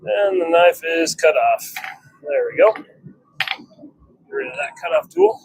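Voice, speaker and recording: A man's voice: sits high, wildly swooping pitch, measured pace, vocal fry. A man giving casual running commentary in short fragments while he works on a livestream from a noisy workshop. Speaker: blacksmith livestreamer. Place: workshop with a forge.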